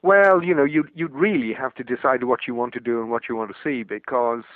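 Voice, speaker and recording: A man's voice: medium pitch, brisk, no room sound. A man from Wales with a Welsh accent, a guest talking expansively and with wry humour, peppering his talk with "you know". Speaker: tourism guest. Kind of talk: conversational interview. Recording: tinny phone line, dry.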